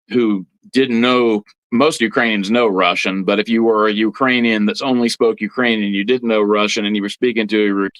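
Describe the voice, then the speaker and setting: Man explaining informally, in a lowish voice. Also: a bit bright, dry recording, rough recording. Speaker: guest priest on a religious podcast. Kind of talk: informal interview explanation of history and politics.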